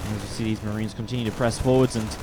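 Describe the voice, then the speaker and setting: A man commenting play by play on a strategy video game match, a little sniffly from a cold, steady and then more excited in the fights. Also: fairly low pitch, quick pace, background noise. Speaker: esports caster.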